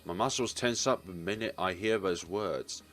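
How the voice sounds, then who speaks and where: A man's voice: deep voice, normal speed, fairly bright tone. A man reading a visual novel's story aloud as a let's player. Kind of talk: reading narration and character lines aloud.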